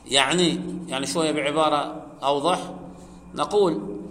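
A man teaching a grammar class, explaining step by step, asking his students questions, and chanting quoted verses slowly. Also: on the high side, steady tone, fairly bright tone, a little hiss or hum. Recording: echoey hall with faint ambience.

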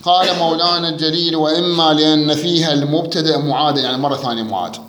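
Male Iraqi grammar teacher, high and fast, repeats key words, classroom echo. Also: steady tone, fairly bright tone, a little hiss or hum. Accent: Iraqi Arabic. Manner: drilling students with quick questions, repeating key words. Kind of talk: classroom grammar lesson with student back-and-forth. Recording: echoey classroom.

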